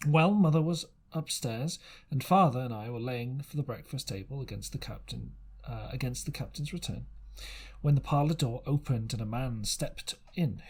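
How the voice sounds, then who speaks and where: A man reads an adventure story aloud as an amateur narrator. His husky, mid-pitched voice moves in lively swings, and his dramatic delivery is halting, with stumbles and repeated phrases.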